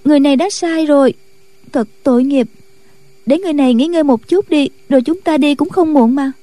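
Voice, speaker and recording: A woman playing a gentle young nun, soft, tender, higher and quick. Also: dry recording, natural voice. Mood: pitying.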